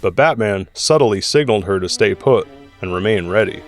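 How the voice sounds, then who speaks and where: A man's voice: fairly low pitch, animated, normal speed. A man reading scripted, dramatic narration for an audio drama. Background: music score.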